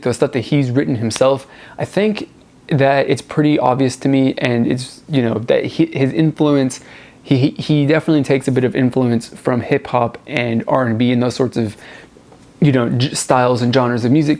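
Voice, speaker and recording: A man's voice: medium pitch, darkish tone. A man hosting a music review show, talking casually and off the cuff to viewers, rambling and repeating words for emphasis.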